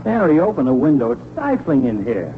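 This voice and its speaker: Man as harried 1940s radio father, whiny and put-upon, lively swooping delivery, tinny hissy recording. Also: mid-range voice, fairly quick, close to an everyday voice. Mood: complaining. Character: harried middle-aged father Fred, grumbling. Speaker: radio actor. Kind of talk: 1940s radio comedy acting. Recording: tinny old 1940s radio transcription with hiss.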